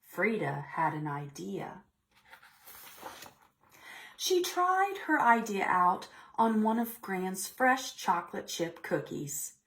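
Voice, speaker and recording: Female storytime reader, medium pitch, expressive delivery for preschoolers with chatty asides and questions. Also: slow. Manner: expressive, asking the children questions. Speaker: children's librarian leading preschool storytime. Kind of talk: read-aloud storytelling with asides to children.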